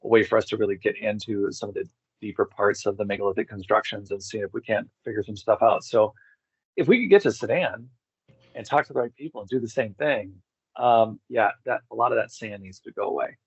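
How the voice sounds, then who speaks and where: A man speaking as an interview guest, in a casual, enthusiastic discussion with a rambling, digressive, excitable manner. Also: lowish voice, brisk, slightly rough, no echo, highs cut off. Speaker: interview guest, amateur megalith researcher.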